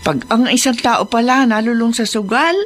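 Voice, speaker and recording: An actress playing a humbled older mother-in-law in a Filipino radio drama, emotional, halting and pleading. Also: a little high, soft background music, natural voice. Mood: grateful, remorseful. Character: remorseful older mother-in-law, once mean, now humbled.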